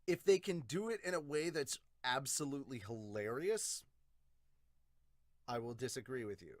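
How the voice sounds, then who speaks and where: A man hosts a casual review show in a mid-pitched voice. He talks bluntly and with animation, swearing freely as he reacts. The sound is dry, with very little room to it. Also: animated, moderate pace, no echo. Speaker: host of a manga review podcast.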